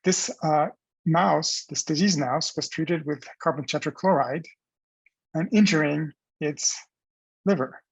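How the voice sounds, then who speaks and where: A man narrates a scientific presentation in a husky, somewhat higher-pitched voice. He explains things step by step with halting pauses mid-phrase. The sound is very dry, with almost no room echo.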